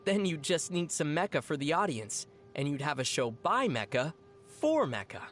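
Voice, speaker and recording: An actor playing a young traveler in a video game, speaking in a measured, thoughtful, dry and gently teasing way. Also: medium pitch, sing-song, soft background music, natural voice. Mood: dry amusement. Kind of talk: scripted video game dialogue.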